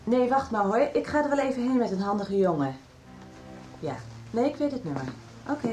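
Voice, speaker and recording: A woman's voice: a little high, animated, fairly quick, warm tone, soft background music, natural voice. A woman playing a busy female family doctor in a radio soap, practical, friendly and informal. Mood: practical, concerned. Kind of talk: 1990s Dutch radio soap acting.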